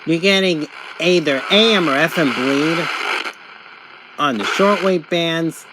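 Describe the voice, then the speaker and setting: Female reviewer, casual and exasperated, unhurried delivery, clear noise behind the voice. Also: low voice. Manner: exasperated, exclaiming. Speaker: product reviewer. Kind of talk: casual gadget review commentary. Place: indoors at a table. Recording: clear radio static and handling noise.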